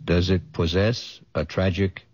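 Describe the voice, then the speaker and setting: A man's voice: deep voice, fairly even intonation, a little slow, heavy background noise. A man playing the ominous host of eerie mystery tales, narrating a radio drama with theatrical deliberation on a muffled old broadcast.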